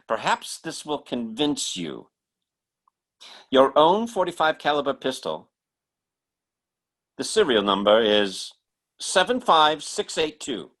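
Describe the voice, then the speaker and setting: An actor playing an earnest, insistent telepathic stage mentalist in a radio mystery, speaking in a dramatic, halting, emphatic way. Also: male voice, medium pitch, very animated, fairly bright tone, full character voice. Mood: grave, urgent. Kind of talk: old-time radio mystery drama acting.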